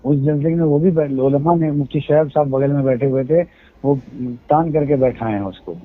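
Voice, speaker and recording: A man with a husky, somewhat high voice explains himself at length, citing religious sayings in his own defense. The sound is a thin, tinny phone call recording. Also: normal speed. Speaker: event organizer on a phone call. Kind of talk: heated phone conversation.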